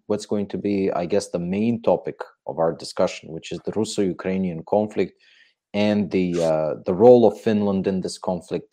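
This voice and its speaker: A man's voice: on the low side, mellow. A man hosting a political interview, speaking in a thoughtful, wordy way that often corrects itself mid-sentence. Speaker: podcast host.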